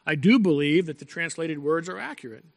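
A male pastor preaching a sermon in a deliberate, emphatic way, repeating key phrases and clearing his throat now and then. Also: on the high side, animated. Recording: long echo from a large hall.

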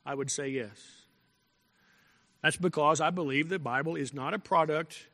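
Bright-voiced pastor preaching in an echoing hall. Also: male voice, a little high. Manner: deliberate and emphatic, repeating key phrases; clears throat now and then. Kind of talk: sermon. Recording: long echo from a large hall.

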